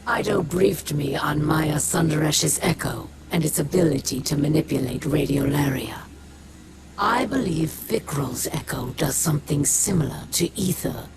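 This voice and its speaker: A woman voicing a stern, weary old alien warlord in a video game, measured, formal and grave. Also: low voice, heavy background noise, close to an everyday voice. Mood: grave, guarded. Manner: measured, formal, solemn delivery. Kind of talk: scripted video game dialogue.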